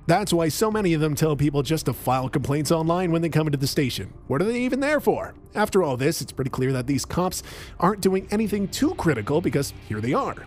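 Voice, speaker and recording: A man narrating a police-video commentary in a scornful, editorializing way. His voice sits a bit high, with a faint hum of ambience behind it. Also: a little mellow. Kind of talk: scripted narration.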